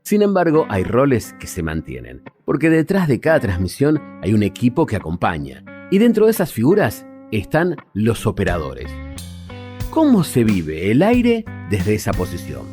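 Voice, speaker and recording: Guy doing documentary voiceover, soft mellow tone, pitch moves around a lot. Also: huge pitch swings, normal speed, faint music behind. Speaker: podcast narrator and host. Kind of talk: scripted documentary narration.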